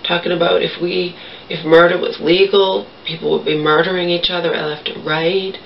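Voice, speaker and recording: A woman's voice: fairly low pitch, level tone, normal speed, creaky, a little hiss or hum. A woman in her thirties chatting casually to camera in a small bedroom, meandering and blunt, swearing freely. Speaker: vlogger. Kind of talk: rambling casual chat to camera. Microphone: camera across the room. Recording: distant, muffled camera audio.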